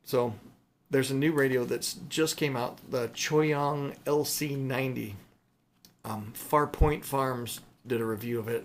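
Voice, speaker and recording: A man's voice: medium pitch, slight background noise. A man chatting casually and unscripted to viewers as a hobbyist host, informal and rambling, thinking aloud as he goes. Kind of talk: casual unscripted chat to viewers while showing items.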